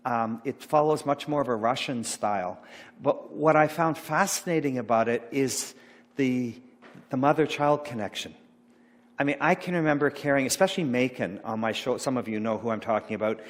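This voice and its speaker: A middle-aged man giving an informal sermon, rambling and halting with many fillers and restarts. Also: medium pitch. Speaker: pastor. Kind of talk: informal sermon talk about slides. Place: church sanctuary. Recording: long church hall reverb.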